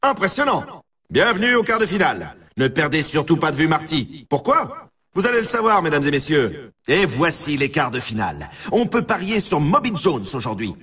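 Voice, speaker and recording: Hyped up snowboard game announcer, high voice swinging all over, kinda husky, bit far from the mic. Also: male voice, very animated, normal speed, sharp tone, exaggerated voice. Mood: upbeat, hyping. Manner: dramatic, emphatic showman delivery. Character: excitable male snowboard race announcer. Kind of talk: sports game commentary.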